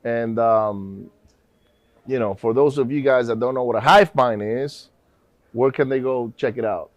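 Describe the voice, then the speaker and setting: A man's voice: medium pitch, a little slow, no echo. A man hosting a podcast, asking questions in a friendly, casual way and calling his guest bro and man. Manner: friendly, hype-style, uses bro and man. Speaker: podcast host. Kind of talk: casual interview chat.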